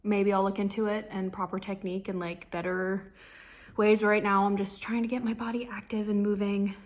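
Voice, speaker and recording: Young woman, medium pitch, casual personal vlog, chatty rambling delivery, confiding, trailing thoughts. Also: level tone, fairly quick. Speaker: vlogger.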